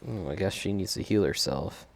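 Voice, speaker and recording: A man's voice: low-pitched, normal speed, slight background noise. A man doing casual gameplay commentary, thinking aloud and chuckling often as he streams a video game.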